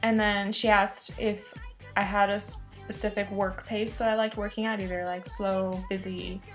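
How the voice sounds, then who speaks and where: A woman's voice: mid-range voice, little pitch movement, unhurried. A young woman of about twenty talks casually, as if to her viewers. She has a mid-range voice and an informal, rambling delivery, often breaking off to correct herself. Music plays behind her. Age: around 20. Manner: informal, rambling, self-interrupting. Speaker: student vlogger. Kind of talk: casual chat to camera recounting an experience.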